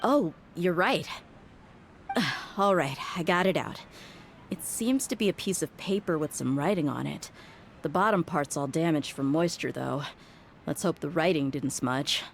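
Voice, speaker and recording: A woman's voice: low-pitched, a little slow, gravelly, a little hiss or hum. An actress voicing a tough, blunt young female mercenary, wry and exasperated, sighing often. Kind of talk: game voice acting.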